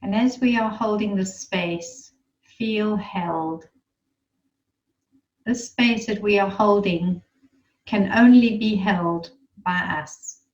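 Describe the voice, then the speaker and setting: A woman leading a guided meditation in a soothing, unhurried manner, with gentle instructions and pauses. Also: medium pitch, recorded from a distance, highs cut off.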